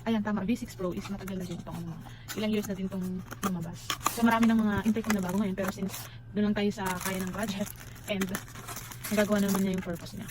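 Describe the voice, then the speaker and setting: Female Filipino vlogger, informal unboxing chat, mid-pitched and fast, switches into English, recorded with noisy ambience. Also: steady tone. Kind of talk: casual unboxing and review chat to viewers.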